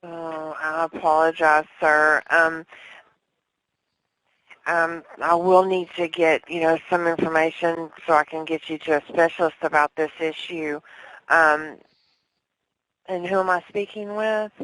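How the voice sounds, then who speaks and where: A woman's voice: low-pitched, flat delivery, measured pace, a bit bright, rough recording. A woman working as a customer service agent, talking politely and formally on a call.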